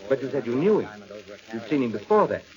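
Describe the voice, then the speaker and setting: An actor playing a rational doctor who questions in a measured, analytical way in a vintage radio drama. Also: male voice, medium pitch, very animated, a crowd in the background, close to an everyday voice. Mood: calm, probing. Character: rational, probing doctor calming a frightened patient. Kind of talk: 1940s radio suspense acting. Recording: dull old radio recording.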